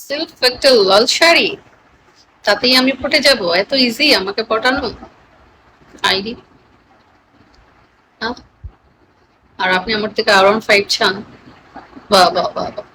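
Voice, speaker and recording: Playful Bangladeshi woman over clear background noise. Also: fairly high pitch, measured pace, pauses often, bright, forceful tone, rough recording. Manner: playful, teasing. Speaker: live streamer. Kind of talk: casual chat with live stream viewers.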